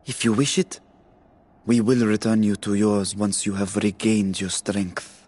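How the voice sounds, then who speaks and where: Husky, courteous gentleman. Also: on the low side, flat delivery. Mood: gracious. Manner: formal, measured, polite. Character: courteous, earnest, formal nobleman host, adult man. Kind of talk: video game cutscene acting. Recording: music behind.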